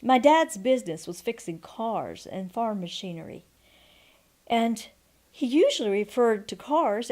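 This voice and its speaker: A woman in her sixties reading a folksy memoir aloud as a storyteller, expressive and deliberate, with pauses. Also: medium pitch. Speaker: storyteller and audiobook narrator. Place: quiet room at home, indoors. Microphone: microphone on a stand before her, seated.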